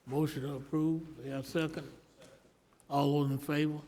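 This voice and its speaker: A man's voice: fairly high pitch, little pitch movement, normal speed, dark tone. A man chairing a county committee meeting, giving brief procedural prompts.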